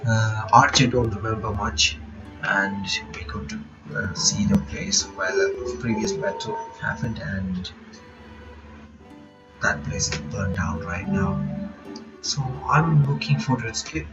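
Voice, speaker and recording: Sleepy, lowish-voiced man hosting a reaction. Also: slow pace. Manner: relaxed, rambling, reads game text aloud. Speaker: reaction video host. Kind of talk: casual commentary while reading a visual novel aloud. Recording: dull lo-fi recording with game music.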